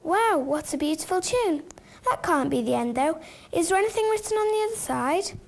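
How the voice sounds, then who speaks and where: A woman's voice: very high-pitched, expressive, moderate pace, creaky, soft background music, heavily acted. A woman playing a keen, curious schoolgirl friend in a radio play.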